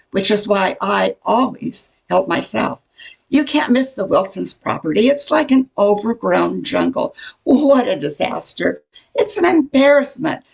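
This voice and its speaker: A woman's voice: mid-range voice, gravelly, husky, heavily acted. An older woman playing a nosy, gossipy welcome-wagon neighbor in a radio comedy, chatty and full of insinuation. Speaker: amateur radio play performer. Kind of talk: old-time radio comedy acting.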